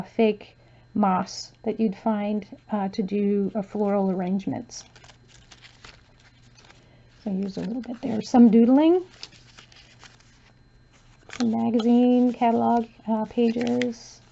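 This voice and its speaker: Woman, crafter, casual, hoarse, gravelly, dull lo-fi sound. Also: a little high, takes it slowly, long pauses. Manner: soft-spoken, relaxed, with frequent um and uh fillers. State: losing her voice, clearing her throat. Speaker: crafter showing her journal. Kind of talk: casual flip-through commentary.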